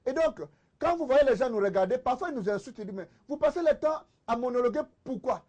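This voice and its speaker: A man's voice: extremely high pitch, expressive, fast talker, darkish tone. A man with a Congolese accent arguing insistently in a political TV debate, repeating phrases for emphasis. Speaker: debate panelist, political commentator.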